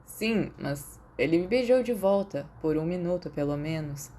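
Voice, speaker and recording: A woman's voice: lowish voice, lively intonation, moderate pace, mellow. A Brazilian woman voicing an anxious, lovestruck teenage schoolboy who confides sheepishly in audiobook dialogue. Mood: anxious confession.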